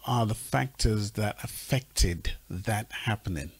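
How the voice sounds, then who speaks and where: A man hosting a talk show, asking measured, probing questions with some restarts. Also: fairly low pitch, slightly rough, no echo. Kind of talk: interviewing a guest.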